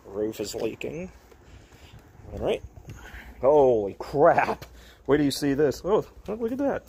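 A man's voice: mid-range voice, lively intonation, a little slow, creaky, darkish tone, breathy edge. A man walking around an empty parking lot, chatting casually as he films on a phone. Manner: chatty, amused, frequent laughs and asides. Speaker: urban exploration vlogger. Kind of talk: casual walk-and-talk narration. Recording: phone video outdoors with traffic noise. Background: traffic and outdoor ambience.

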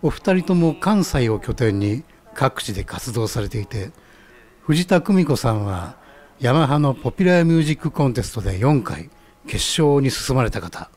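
A man's voice: mid-range voice, darkish tone, natural voice. A man playing a genial ramen stall owner in a scripted radio skit, explaining things politely. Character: genial male ramen stall owner who knows his music.

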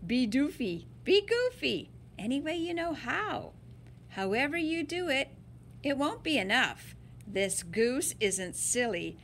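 Slow, very expressive woman reading aloud. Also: high voice, a little hiss or hum. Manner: dramatic, emphatic, playful. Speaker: story-time reader. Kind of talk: expressive picture-book read-aloud for children.

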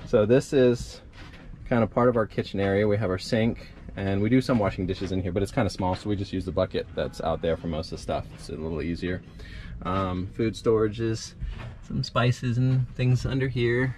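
A man's voice: lowish voice, steady tone, moderate pace, a little mellow. A man in his forties chatting casually to an action camera held at arm's length, giving an upbeat walkthrough with plenty of fillers. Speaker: sailing vlogger. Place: outdoors by harbor and on small sailboat. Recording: wind noise on camera mic.

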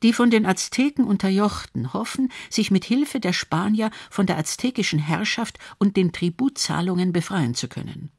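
A woman's voice: medium pitch, moderate pace, creaky, hardly any pauses, a little mellow, husky, dry recording, clean studio sound. A woman reading scripted documentary narration.

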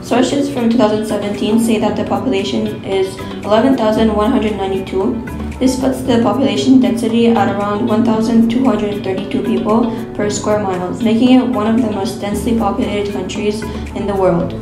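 A woman's voice: mid-range voice, steady tone, a little fast. A woman student reading a school report steadily, with small stumbles. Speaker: student presenter. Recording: roomy echo with loud music.

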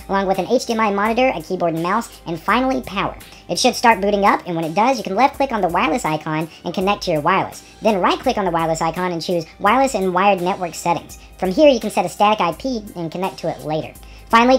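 A woman reading scripted step-by-step technical instructions. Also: mid-range voice. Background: background music.

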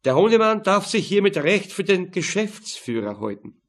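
A man's voice: high voice, sing-song, normal speed, pitched above the performer's own voice. Man acting a smug, mocking gangster. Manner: mocking, nonchalant.

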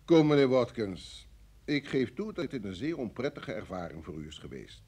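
An actor playing a senior security chief in an old radio drama, brusque and formal, with a husky voice and an authoritative manner. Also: male voice, mid-range voice, big pitch swings, darkish tone. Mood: firm, persuasive. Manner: authoritative, formal, measured phrasing. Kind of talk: vintage Dutch radio drama acting.

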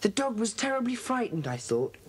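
A woman with a British accent playing a brisk, bossy schoolboy who leads a club in a children's audio drama. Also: a little high, very animated, slightly rough. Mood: puzzled, intrigued. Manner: brisk, authoritative.

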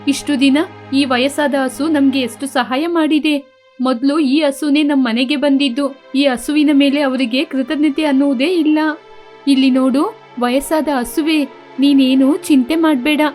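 A woman's voice: high voice, steady tone, measured pace, darkish tone, faint music behind. A woman playing a kind, devout and anxious village wife in an acted moral story, speaking in a caring, pleading way.

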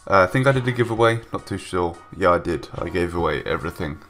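Young male gaming vlogger, very low voice, stuffed-up from a cold, hesitant rambling, faint music behind. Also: animated, quick pace, a bit bright. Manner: rambling, hesitant, many ums and restarts. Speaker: gaming YouTuber. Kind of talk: casual voice-over commentary. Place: home room, recorded separately from gameplay.

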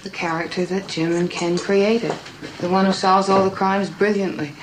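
An actress playing the troubled, guarded wife of a missing writer in a TV mystery, speaking in a measured, thoughtful way. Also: female voice, mid-range voice, fairly even intonation, lightly acted. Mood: reflective. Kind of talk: television mystery drama acting. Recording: noisy old TV soundtrack.

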